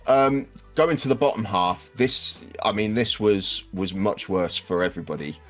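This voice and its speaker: A man's voice: lowish voice, normal speed, soft background music. A man hosting a sports podcast, talking casually and wryly as he explains season results, with some swearing. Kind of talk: casual football podcast review.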